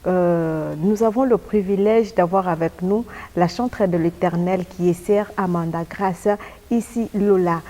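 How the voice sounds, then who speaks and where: A woman hosts a religious television interview. Her voice is soft-edged and dark, pitched a little low. She addresses her guest formally and courteously. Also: measured pace.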